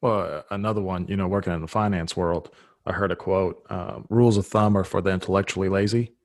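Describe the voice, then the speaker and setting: A man's voice: deep voice, fairly even intonation, a little fast, no room sound. A man whose first language is Serbo-Croatian, hosting a podcast and talking earnestly in casual conversation, thinking aloud with restarts.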